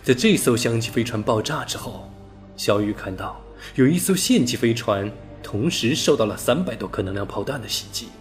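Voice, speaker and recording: A man reading a science fiction novel aloud as an audiobook narrator, steady and storytelling, lifting his voice on key words. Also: medium pitch, normal speed, soft background music. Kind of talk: scripted sci-fi novel narration.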